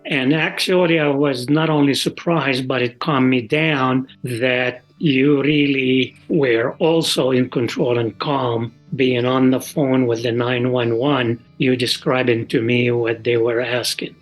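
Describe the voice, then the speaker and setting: Slow, halting older man recalling events. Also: mid-range voice, low-quality recording. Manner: halting, plain-spoken, calm. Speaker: father recounting his own experience. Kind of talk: unscripted spoken recollection. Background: soft guitar music.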